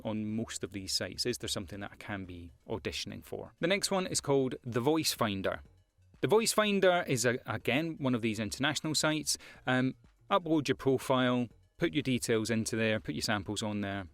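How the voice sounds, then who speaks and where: A man's voice: mid-range voice, fairly bright tone, dry recording. A Scottish man, a voiceover artist, talking casually to camera with career advice, with frequent fillers and repeated words. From Scotland.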